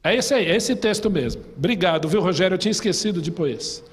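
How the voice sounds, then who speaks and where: A man's voice: high-pitched, animated, fast talker, breathy edge. A man with a Brazilian Portuguese accent, a pastor teaching a Sunday school class in a chatty way, putting questions to the class and telling personal stories. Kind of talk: conversational Bible class teaching. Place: church hall. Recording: long reverb in a church hall.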